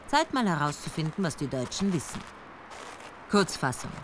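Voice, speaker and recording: An actress playing a determined police chief in a radio drama, narrating in first person with a brisk, probing manner. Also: female voice, low-pitched, very animated, background noise. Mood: calm. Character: determined female police chief, sharp and commanding.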